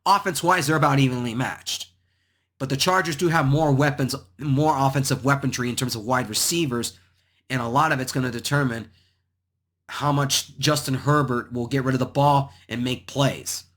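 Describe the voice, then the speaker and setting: Hoarse man rambling through football picks. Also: mid-range voice, normal speed, fairly bright tone. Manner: rambling, repeats phrases, restarts often. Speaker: sports picks channel host. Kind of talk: solo football predictions talk.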